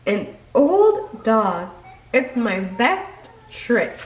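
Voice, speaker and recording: A woman's voice: on the high side, big pitch swings, very slow, roomy sound, a little hiss or hum, exaggerated voice. A woman voicing a very old male gray dog in a storybook, playful but weary and given to jokes and sighs. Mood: proud. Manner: jokey, sighing.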